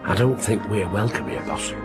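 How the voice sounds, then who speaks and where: A man's voice: on the low side, moderate pace, over loud music, lightly acted. An actor playing an easygoing, wry male detective constable in a radio drama, informal and dry. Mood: wry, uneasy. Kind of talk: naturalistic radio drama acting.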